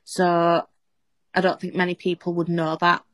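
A woman's voice: on the low side, completely flat delivery, moderate pace, a bit bright, no room sound. Chatty Yorkshire woman with a Scouse tinge. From Serbia. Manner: chatty, jokey, self-mocking. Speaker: podcast co-host. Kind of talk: casual chat.

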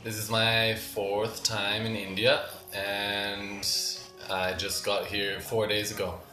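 A young man from Ohio, a guest in a casual interview, easygoing and explanatory, talking quickly in bursts. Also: on the low side, level tone, sharp tone. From Ohio, United States. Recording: echoey room with faint music.

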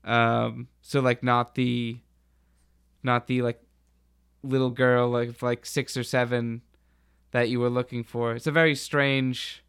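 Smooth, even-toned man chatting. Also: medium pitch, slow pace. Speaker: podcast panelist. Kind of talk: casual chat, explaining and retelling.

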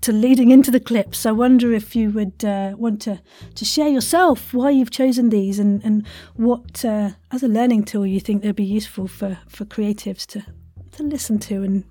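A woman's voice: medium pitch, moderate pace, warm tone, no room sound. A woman hosting an interview, chatting casually in an encouraging, effusive and wordy way. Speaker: podcast host interviewing a guest.

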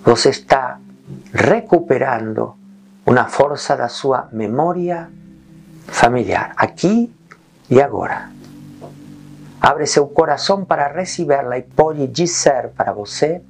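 A man leading a guided meditation in calm, gentle short phrases with long pauses. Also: medium pitch, creaky.

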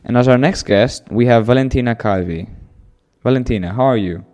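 A man's voice: medium pitch, brisk, darkish tone, soft background music. Teenage boy hosting a school podcast. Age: teens. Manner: friendly, filler-heavy questioning. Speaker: student podcast host. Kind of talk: casual interview hosting.

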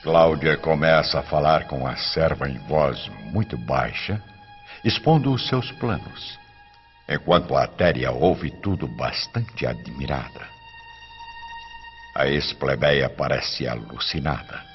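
A man's voice: very deep voice, takes it slowly. A man narrating a radio soap opera from a script in a solemn, theatrical, dramatic style. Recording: dull, reverberant radio recording with music.

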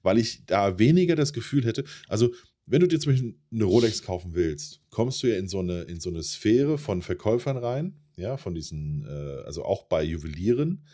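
A man's voice: on the low side, big pitch swings, normal speed, mellow. A man co-hosting a podcast in casual chat, explaining at length with many hesitations and now and then repeating a word from a stutter. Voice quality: occasional repeated words from a stutter. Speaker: podcast co-host.

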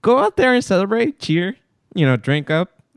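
Guatemalan dude w/ mexican accent, smooth clear voice, dry mic, earnest and hyped. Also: male voice, high voice, very animated, no room sound. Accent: Mexican Spanish-tinged English. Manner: earnest, encouraging, slightly halting phrasing. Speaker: podcast co-host. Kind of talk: casual chat.

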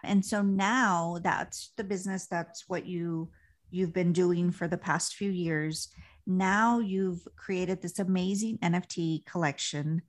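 A woman's voice: on the low side, steady tone, slow. A woman hosting an interview, friendly and affirming, with frequent short encouragements. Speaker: podcast host.

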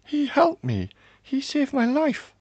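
A man's voice: very high voice, huge pitch swings, slow, a little mellow, no echo, dull audio, pitched above the performer's own voice, full character voice. A man voicing a desperate young princess, pleading emotionally in a fantasy story.

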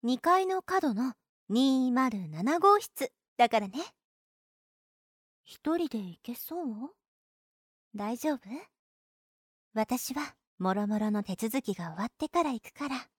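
A woman's voice: fairly high pitch, animated, slow, long pauses, no room sound, studio quality. A woman playing a caring, insistent, overprotective older sister in a Japanese audio drama. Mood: caring, brisk. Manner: gentle, fussing, attentive. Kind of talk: Japanese situation voice drama acting.

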